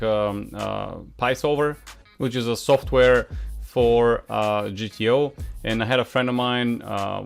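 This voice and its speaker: A man's voice: medium pitch, vocal fry. Blunt Bulgarian man with faint music. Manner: frank, many "uh" fillers, blunt asides. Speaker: poker coach. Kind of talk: casual Q&A monologue.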